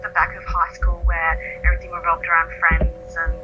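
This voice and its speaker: A woman's voice: fairly low pitch, completely flat delivery, moderate pace, dull audio, music in the background. A woman in her late thirties, a writer being interviewed, talking in a thoughtful, reflective way and laughing easily. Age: 30s. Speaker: guest writer. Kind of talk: conversational interview.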